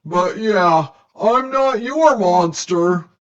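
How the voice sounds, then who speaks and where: A woman's voice: mid-range voice, animated, drawn-out words, highs cut off. A woman voicing a dismissive, unbothered storybook monster with an offhand, drawling manner.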